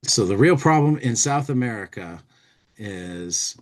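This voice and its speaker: A man's voice: on the low side, animated, measured pace. A man hosting a casual talk show, thinking aloud as he chats and reads bits from a magazine. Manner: thinking aloud while working. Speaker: podcast host.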